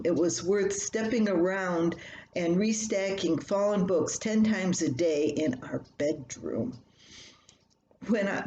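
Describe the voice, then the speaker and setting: An older woman with a slightly low voice reads a light personal column aloud. Her delivery is folksy and conversational, like home storytelling. The room adds noticeable echo, with faint noise behind her. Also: darkish tone. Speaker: columnist reading own work.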